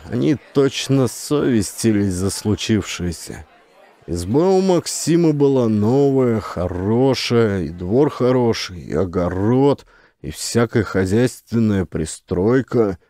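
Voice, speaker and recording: A man's voice: lowish voice, expressive, measured pace, dark tone. A man narrating a scripted story as an actor reading an audiobook.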